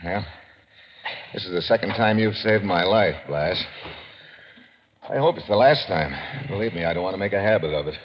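Deep, hard-boiled radio adventure hero played by a man. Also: normal speed, pauses often, close to an everyday voice. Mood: wry, dry. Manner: terse, slangy, hard-boiled. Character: tough, wry, slangy male adventurer hero. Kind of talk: 1950s radio drama acting. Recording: old radio recording, muffled, boomy reverb, hiss.

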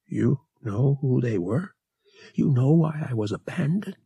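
Man acting a desperate, husky young man. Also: mid-range voice, animated, measured pace, mellow, no room sound, voice pushed up, heavily acted. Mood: desperate, demanding. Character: desperate, defiant young man, worn out and wary.

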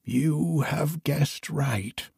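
A man voicing a frail, wise old woman held captive, in a raised, soft, pleading tone. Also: fairly high pitch, drawn-out words, creaky, slightly rough, no echo, clean studio sound, pitched above the performer's own voice, exaggerated voice. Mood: gentle. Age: older.

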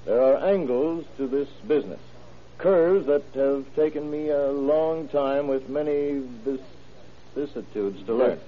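An actor playing a wry, needling, eager police detective with a folksy, teasing manner in old-time radio crime drama style. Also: male voice, a little high, unhurried, close to an everyday voice. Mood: deliberate, reading aloud. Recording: tinny, noisy vintage radio recording.

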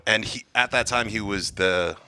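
A man hosting a podcast, chatting and telling a family story at a quick, lively clip. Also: fairly low pitch, a little hiss or hum. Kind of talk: casual chat.

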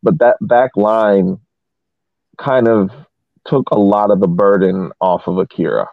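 A man co-hosting a sports podcast, talking through a soccer game casually in a halting, measured way that repeats words. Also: on the low side, vocal fry. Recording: roomy, thin phone-like call audio.

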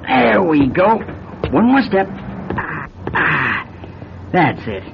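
Noisy, muffled vintage radio drama with vehicle rumble. A boastful young race driver talks in a breezy, slangy way, his fairly high voice bouncing up and down. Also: big pitch swings, drawn-out words, vocal fry, heavily acted. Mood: helpful. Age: young adult. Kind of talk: classic radio drama acting. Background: bus engine rumble.

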